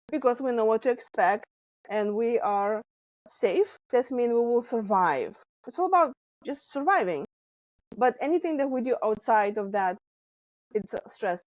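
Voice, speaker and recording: A woman's voice: on the high side, no room sound, low-quality recording. A woman in her forties from Lithuania with a Lithuanian accent, a stress coach telling her story animatedly in a podcast interview. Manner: animated storytelling with laughs and acted quotes. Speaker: guest, stress management coach.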